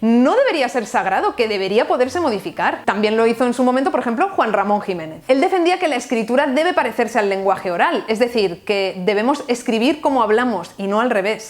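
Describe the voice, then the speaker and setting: A young Valencian woman with a slightly high voice delivers a scripted talk on books and language. She speaks with a Valencian Spanish accent. She drops in dry, ironic asides as she goes. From Valencia, Spain. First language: bilingual Valencian and Spanish. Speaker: literature video host. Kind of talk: scripted explanatory video essay.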